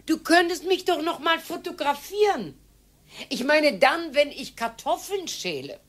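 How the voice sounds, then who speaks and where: An actress playing a fed-up older wife who is sharp-tongued and scornful, with a husky voice, in a radio drama. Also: female voice, sits high, animated, a little slow. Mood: insistent.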